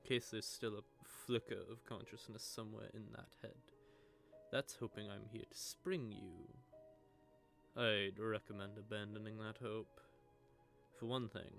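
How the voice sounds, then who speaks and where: Man playing a creaky-voiced, smug male vampire. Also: fairly low pitch, flat delivery, moderate pace, fairly bright tone. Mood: smug, taunting. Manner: teasing, drawling, conversational taunting. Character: smug, sardonic male vampire gloating over a captive hunter. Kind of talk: scripted audio roleplay monologue addressed to the listener. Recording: background music under the voice.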